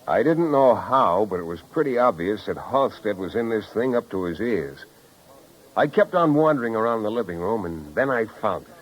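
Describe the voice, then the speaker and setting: An actor playing a wry, tough, world-weary private detective in a 1950s radio drama, with slangy, wisecracking delivery and narration. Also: male voice, on the low side, very animated, moderate pace. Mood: brooding, wry. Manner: hard-boiled, wisecracking, slangy. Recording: dull vintage 1950s radio recording.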